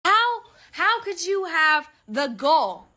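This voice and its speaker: A woman's voice: extremely high pitch, very animated, slow pace, pushed and bright. A woman giving an emotional personal statement in a shaky voice that is close to tears.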